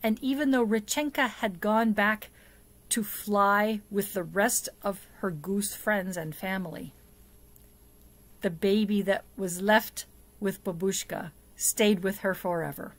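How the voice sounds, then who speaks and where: A middle-aged woman tells a story to young children at a very slow, careful pace. Her voice sits at a medium pitch, and she speaks expressively, leaning on words for drama as a children's storyteller does. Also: steady tone. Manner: deliberate, asks the listener questions, dramatic hushes and emphasis. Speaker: storyteller for a church children's program. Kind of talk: expressive picture-book reading and talk to young children. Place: small indoor setup before a plain backdrop.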